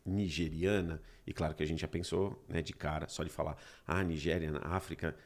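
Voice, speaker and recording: A man's voice: very deep voice, steady tone, fairly quick. A man in his forties co-hosting a casual book discussion, talking with emphatic asides. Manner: conversational, emphatic asides to listeners. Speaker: book club co-host.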